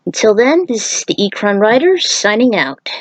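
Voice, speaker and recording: A woman's voice: mid-range voice, sing-song, normal speed, no room sound, low-quality recording. Opinionated woman, dry close recording. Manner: opinionated, emphatic, swears. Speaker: fan fiction author and video narrator. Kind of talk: casual opinionated fan commentary.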